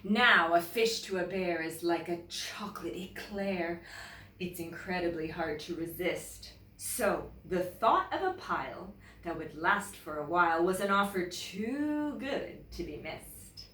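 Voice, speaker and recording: A woman with a Canadian accent, a teacher presenting and reading a children's story aloud in an expressive, deliberate way. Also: lowish voice, distant mic. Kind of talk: children's storybook read-aloud with casual introduction. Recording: roomy echo, away from the mic.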